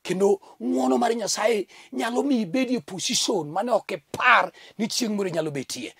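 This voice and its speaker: A man's voice: high-pitched, normal speed, creaky, fairly bright tone, breathy edge. A middle-aged man from Kenya preaching a fervent TV sermon at a studio desk, with a recurring thanks-to-God refrain. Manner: fervent preaching with sweeping hand gestures. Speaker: pastor. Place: indoor TV studio set at a desk.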